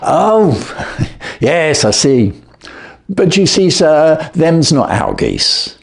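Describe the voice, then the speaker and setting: A folksy London pub landlord from a storybook, put on by a male reader. He is plain-spoken and easygoing, and his pitch bobs up and down freely. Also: big pitch swings, extremely slow, vocal fry, full character voice. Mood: surprised, amiable. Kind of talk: storybook character voice.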